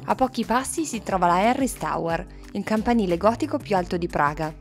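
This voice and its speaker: A woman's voice: medium pitch, animated, brisk. A woman narrating a travel vlog in a scripted style. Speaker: travel vlogger. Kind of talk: scripted travel narration with short casual asides. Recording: music under the voice. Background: background music.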